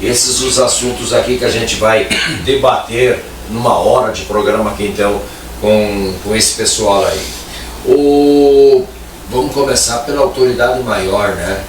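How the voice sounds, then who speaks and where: A man's voice: on the low side, measured pace. Man, talk show host, chatty, jokey, roomy echo, faint noise. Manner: chatty, joking, many tag questions. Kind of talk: casual talk show hosting. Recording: echoey, distant, faint noise.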